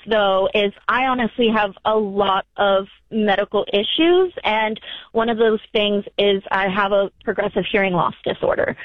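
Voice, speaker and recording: A woman's voice: mid-range voice, no room sound. A woman in her early twenties calling in to a radio advice show, explaining her situation conversationally in a hesitant, rambling way. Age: early 20s. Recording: tinny narrow-band phone line.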